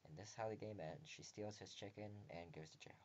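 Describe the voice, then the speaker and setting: Low-voiced man reading a visual novel. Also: brisk, very noisy. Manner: breaks off the reading for joking, crude asides.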